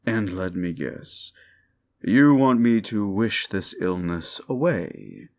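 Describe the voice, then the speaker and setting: A man playing a cruel, sneering demon in an ASMR roleplay, sardonic and condescending, with a gravelly voice. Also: low-pitched, lively intonation, slow, a little mellow, exaggerated voice. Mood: smug, bargaining. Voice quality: gravelly, creaky. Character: cruel, sneering male demon bargaining for wishes. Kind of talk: scripted ASMR roleplay addressed to the listener.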